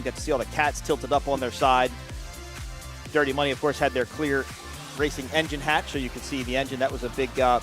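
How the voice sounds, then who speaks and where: A man calls an offshore race from an indoor broadcast booth, rattling along at a brisk clip. His delivery is upbeat play-by-play, with faint music underneath. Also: medium pitch. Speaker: race commentator. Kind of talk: live sports commentary.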